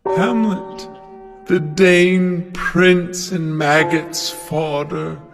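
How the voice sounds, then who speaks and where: An actor playing a disgusted, world-weary intellectual in an audio play, declaiming a monologue in halting, broken phrases. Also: male voice, on the high side, level tone, vocal fry, heavily acted. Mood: loud, declamatory. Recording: music under the voice.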